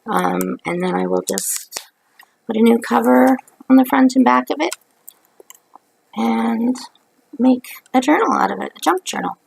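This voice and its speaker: A middle-aged woman from Canada, hoarse from a cold, talking into a webcam from her living room couch at night. Her voice is deeply gravelly and full of fry. She rambles in a chatty way, and a faint hiss sits under the recording. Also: expressive, a little slow, pauses often, a little mellow, poor audio. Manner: rambling, chatty, many ums. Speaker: craft vlogger. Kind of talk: casual haul video. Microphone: webcam at close range.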